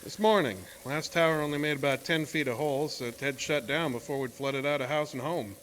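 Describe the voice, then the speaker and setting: A man's voice: a little high, fairly quick, a bit bright, lightly acted. Folksy oil driller, acted by a man, noisy track. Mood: relaxed, hospitable. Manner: folksy, colloquial rural speech. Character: folksy, easygoing oil driller nicknamed Porky. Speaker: narrator and lead character. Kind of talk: first-person horror audio drama storytelling. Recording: noisy track with ambient sound.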